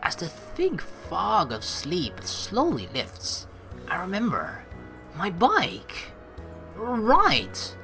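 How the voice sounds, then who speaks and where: A young man with a bright, forceful voice and lively, swinging intonation plays through a game. He reads the narration aloud and drops in playful, casual asides, with music behind him. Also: sits high, very slow, gravelly. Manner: expressive, informal, playful asides. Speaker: let's play host.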